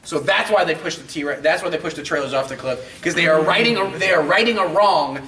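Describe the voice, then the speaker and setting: A man's voice: fairly high pitch, brisk, pushed and bright, far from the mic. A man hosting a casual fan talk show, explaining things in an emphatic, geeky way and acting out remembered lines. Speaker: host of a video game and film talk show. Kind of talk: casual enthusiastic fan chat. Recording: roomy, off-mic, noticeable background noise.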